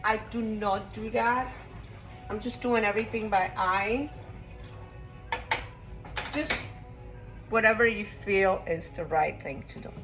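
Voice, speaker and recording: A woman from Costa Rica talks her way through a home-cooked meal in her kitchen, rambling from one thought to the next and tossing in blunt asides. Her voice sits at a middle pitch, with faint music playing behind her. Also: slow, pauses often, a bit bright, poor audio. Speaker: home cook vlogger. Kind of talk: casual cooking vlog.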